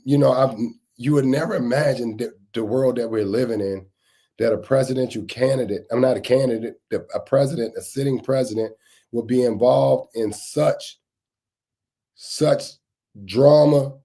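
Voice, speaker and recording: A man hosting a casual live stream, his voice a bit raspy as he gets over a cold, greeting chat viewers by name and repeating points for emphasis. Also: medium pitch, fairly even intonation, moderate pace, dark tone. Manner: repetitive, emphatic phrasing. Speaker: livestream host on security news.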